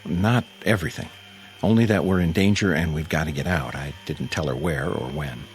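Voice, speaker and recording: Low-voiced anxious test pilot. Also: male voice, moderate pace, slight background noise. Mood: anxious, grave. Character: anxious test pilot Jerry, desperate to escape. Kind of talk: radio drama acting.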